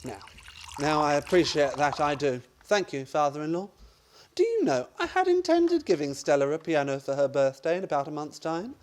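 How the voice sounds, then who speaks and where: A wry, scheming son-in-law in a comic radio play, acted out by a performer. The delivery is theatrical and exclamatory, leaping up and down in pitch with sardonic relish. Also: wildly swooping pitch, normal speed, darkish tone. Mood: gleeful. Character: wry, scheming son-in-law who scorns his mother-in-law. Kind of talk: stage-comedy radio play acting.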